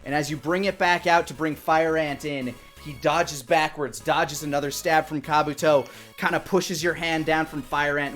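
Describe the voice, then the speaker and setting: Male dungeon master, thirties, higher pitch, improvised dramatic game narration, music underneath. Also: fairly bright tone. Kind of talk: improvised game narration for a tabletop role-playing stream.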